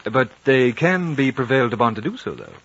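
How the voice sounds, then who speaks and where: A composed, reasonable British gentleman, with a middle-pitched voice. He speaks with measured courtesy and a quietly probing air, on a dull old radio drama recording. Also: very animated, husky, full character voice. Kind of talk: 1950s radio drama acting and narration.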